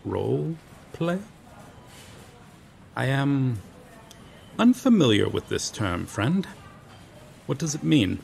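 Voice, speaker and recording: A man's voice: mid-range voice, sing-song, slow pace, creaky, pauses often, a little mellow, slight background noise, heavily acted. A man playing a noble, earnest medieval knight lost in modern times in an audio roleplay, speaking in formal, archaic, solemn phrases. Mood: puzzled, courteous. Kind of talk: ASMR audio roleplay, dramatic monologue.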